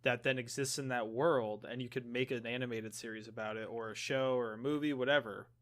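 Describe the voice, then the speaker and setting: A man's voice: mid-range voice, steady tone, fairly quick, a bit bright. A man co-hosting a podcast, chatting casually about games in an informal, rambling way. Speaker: podcast co-host.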